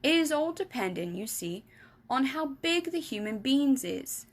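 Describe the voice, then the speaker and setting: A woman's voice: very animated, measured pace, full character voice. A woman reading aloud and voicing a big, kindly giant who mangles his grammar and invents words. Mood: boastful. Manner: jumbled grammar, made-up words, booming. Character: big friendly giant, kindly, muddled speech.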